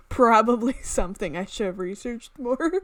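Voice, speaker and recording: A woman hosting a gaming video, reading a visual novel aloud in an expressive way with exclaimed reactions. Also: fairly high pitch, a little slow, no echo.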